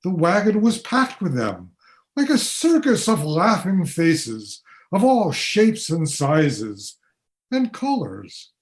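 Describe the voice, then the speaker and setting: A man's voice: high voice, wildly swooping pitch, slow pace, exaggerated voice. A man playing a wistful, grieving father from a past century in a radio play.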